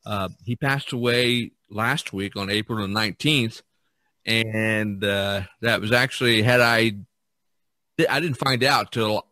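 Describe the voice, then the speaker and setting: A man hosting a podcast in casual talk, with a halting, hesitant delivery. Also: lowish voice, bright, forceful tone, dry recording. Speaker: podcast host.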